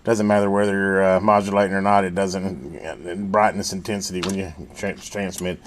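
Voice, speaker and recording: A man talking casually through a hands-on repair as a technician, folksy and thinking aloud at his own unhurried rate. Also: deep voice, little pitch movement. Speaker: radio repair technician and video host. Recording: loud electrical hum and background noise.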